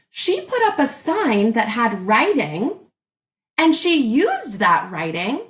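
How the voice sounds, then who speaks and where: A woman teacher reading a picture book aloud to young children in an expressive, deliberate way, pausing to muse and think out loud. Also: sits high, roomy sound.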